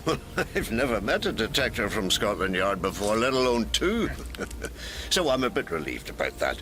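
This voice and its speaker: Old parson, very animated pitch. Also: male voice, moderate pace, background noise. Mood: courteous, flustered. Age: older. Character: courteous, tall old clergyman, nearly blind. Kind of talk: naturalistic radio drama acting.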